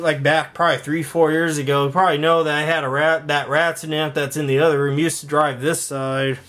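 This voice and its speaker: A man giving a casual walkthrough vlog in an indoor workshop, rambling and halting as he thinks aloud. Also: on the high side, fairly bright tone. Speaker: video creator showing a home intercom and speaker system.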